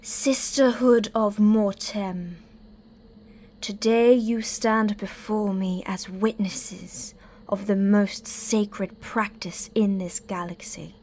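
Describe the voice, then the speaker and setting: Casting: actress for fanatical cult mother superior, audio drama; very measured, solemn, ceremonial delivery. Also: medium pitch, steady tone, darkish tone, slight background noise, lightly acted. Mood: solemn, reverent. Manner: ceremonial, deliberate.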